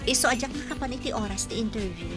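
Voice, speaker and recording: An actress playing a plucky young job-seeker, earnest and polite but ready to talk back, in a Philippine radio drama. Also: female voice, on the high side, animated, fast talker, close to an everyday voice. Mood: humble. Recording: loud music bed under dialogue.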